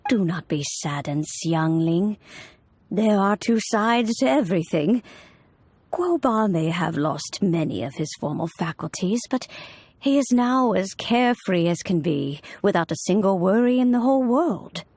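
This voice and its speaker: A woman voicing a serene, kindly old woman in a video game, speaking in a measured, soothing, old-fashioned way. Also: medium pitch, animated, a little mellow, no room sound. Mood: tender, consoling.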